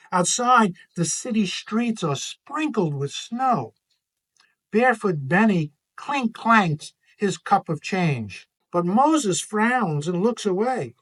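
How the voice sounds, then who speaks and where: A man's voice: high-pitched, fairly bright tone. A man reading a children's picture book aloud expressively as its author.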